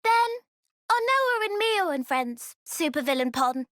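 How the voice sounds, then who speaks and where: A woman's voice: extremely high pitch, very animated, unhurried, fairly bright tone, soft background music, heavily acted. A woman voicing a small, naive, food-loving female creature in a video game, speaking childlike broken English in the third person. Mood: curious, pondering. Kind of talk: video game cutscene acting.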